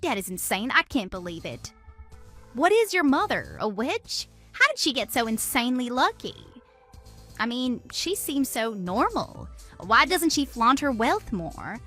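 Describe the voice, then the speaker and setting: Woman acting a haughty gold digger, bright and animated. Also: fairly high pitch, a little slow, faint music behind, full character voice. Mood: shocked, incredulous. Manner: haughty, gloating, incredulous. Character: smug, greedy, gold-digging young woman, easily shocked. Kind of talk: scripted audio drama dialogue.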